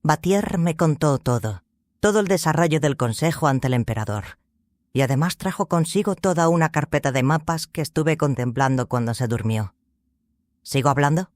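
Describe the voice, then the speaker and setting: A man's voice: fairly high pitch, lively intonation, normal speed, dry recording, studio quality, pitched above the performer's own voice, lightly acted. A man voicing a vain, self-assured court woman in an audiobook, gossiping in a coy, knowing way. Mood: conspiratorial, knowing.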